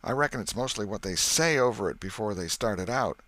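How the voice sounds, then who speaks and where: A man's voice: on the low side, animated, brisk, a bit bright, no echo. A man voicing a bold, know-it-all country boy leader in an audiobook story, with assertive folksy speech. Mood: confident, reasoning.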